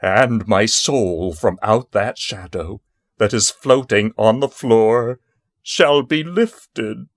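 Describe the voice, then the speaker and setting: A man giving a theatrical, intense recitation of a poem in a deliberate manner. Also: lowish voice, dry recording, clean studio sound. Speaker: poetry reader. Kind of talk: dramatic poetry recitation.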